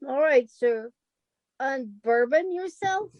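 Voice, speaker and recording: A woman's voice: high voice, expressive, slow, darkish tone, no echo, dull audio. A woman playing a meek, eager detective's secretary, solicitous and naive, in 1940s radio style. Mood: meek, puzzled.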